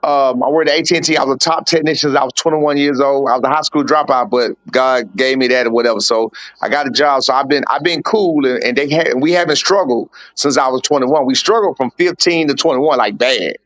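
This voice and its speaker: A man's voice: mid-range voice, fairly quick, a bit bright, no echo. Guy in his 40s telling his story straight up, swears a lot, super dry sound. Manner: candid, blunt storytelling with slang and swearing. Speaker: boxing coach and father of a fighter. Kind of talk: casual podcast interview.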